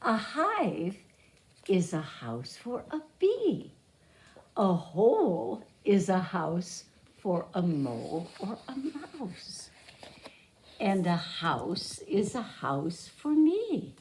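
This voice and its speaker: A woman teacher reading a picture book aloud to young children, pausing to explain and repeat words simply. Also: fairly low pitch, a little mellow.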